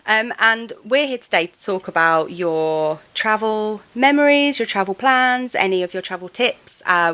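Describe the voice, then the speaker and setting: A woman's voice: lowish voice, fairly bright tone. A young English woman hosting a casual travel podcast, bubbly and laughing often as she chats with her guest. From England. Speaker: podcast host.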